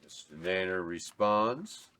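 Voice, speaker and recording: A man reading stage directions aloud at a script table read, plain and steady. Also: low-pitched, takes it slowly, smooth.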